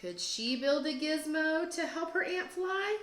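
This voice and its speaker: A woman teacher reading a children's storybook aloud with expressive, deliberate storytelling phrasing. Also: high voice. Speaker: teacher, guest read-aloud host. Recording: roomy echo, music behind. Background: storybook app music.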